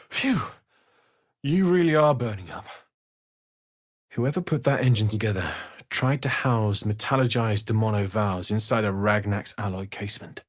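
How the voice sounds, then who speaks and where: A man playing an earnest, kindly blacksmith in a video game, weary from surviving the hells, speaking with gentle, understated sincerity. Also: fairly low pitch, breathy edge. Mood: concerned. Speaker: video game character actor. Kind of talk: acted video game dialogue.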